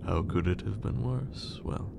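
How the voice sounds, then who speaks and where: A man playing a haughty, menacing vampire lord in an ASMR roleplay, with condescending, theatrical speech and a breathy, creaky voice. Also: fairly low pitch, very noisy. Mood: dry, condescending. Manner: slow, condescending, theatrical, archaic wording.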